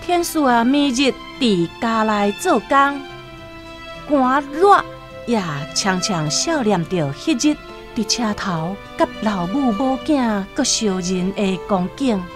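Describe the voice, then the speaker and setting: A woman tells a scripted radio story in a Taiwanese accent. Her delivery is slow and deliberate, with dramatic, wide swings in pitch. Music plays clearly behind her. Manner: expressive, deliberate. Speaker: narrator.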